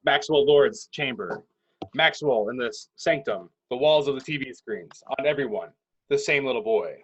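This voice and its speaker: A man with a husky voice narrating a script in a clipped, descriptive reading style. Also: mid-range voice, animated, moderate pace, a bit bright, rough recording.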